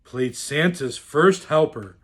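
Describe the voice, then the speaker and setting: A man reading a rhyming children's storybook aloud in a theatrical, deliberate, expressive way. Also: fairly high pitch. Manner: theatrical, deliberate, swooping delivery. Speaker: storyteller in the role of Santa.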